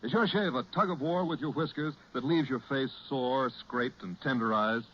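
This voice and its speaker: A man's voice: mid-range voice, normal speed. An American man announcing on a 1940s radio show with polished, emphatic salesmanship. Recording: muffled, noisy 1940s radio broadcast.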